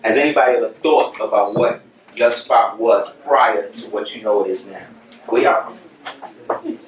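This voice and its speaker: A man speaking to high school students in a classroom, coaxing answers out of them with brisk, streetwise questions. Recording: roomy, distant, noisy classroom recording.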